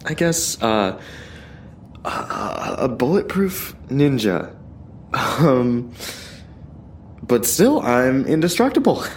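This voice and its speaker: Audio drama sidekick for a male actor: sheepish, hesitant young hero, lively pitch swings, faint background noise. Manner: halting, hesitant.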